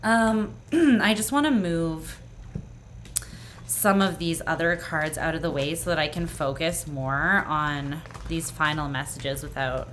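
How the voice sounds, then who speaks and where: Low-voiced woman, voice going hoarse. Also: takes it slowly, a bit bright, slight background noise. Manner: earnest, reassuring, with frequent "like" and "um" and repeated throat clearing. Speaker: tarot reader. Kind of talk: pick-a-card tarot reading, speaking to the viewer.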